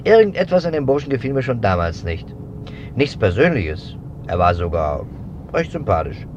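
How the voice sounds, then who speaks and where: An actor playing a methodical, suspicious investigator in a 1950s German radio drama, speaking deliberately in emphatic, clipped phrases. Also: male voice, mid-range voice, very animated, close to an everyday voice. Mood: intent, brooding. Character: persistent adult male investigator, methodical and suspicious. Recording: dull, noisy 1950s radio recording.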